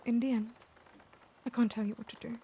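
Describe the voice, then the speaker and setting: A woman playing a caring, remorseful vampire lady in an ASMR roleplay, speaking gently and formally in a soft, breathy voice that slips into whispers. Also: on the high side, little pitch movement, brisk, a little hiss or hum, close to an everyday voice. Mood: regretful, resigned. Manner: formal, old-fashioned, gentle address. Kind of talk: ASMR roleplay, scripted dramatic monologue.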